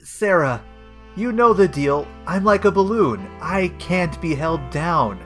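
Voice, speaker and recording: A man's voice: a little high, animated, slow pace, faint music behind. A man playing a self-important, deluded and bumbling police detective in a comedic audio play, with a hesitant, pompous way of talking. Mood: smug, self-assured. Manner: pompous, hesitant, full of himself.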